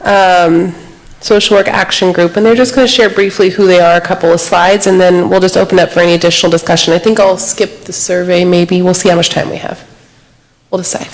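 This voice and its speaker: A woman moderating a public workshop, briskly clarifying points and introducing speakers. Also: fairly low pitch, steady tone, rough recording. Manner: brisk, organizing.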